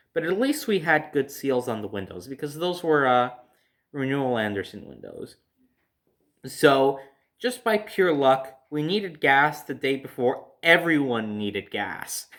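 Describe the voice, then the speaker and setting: Male, American, slightly high voice, casual rambling storyteller recounting everyday experiences. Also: a bit bright.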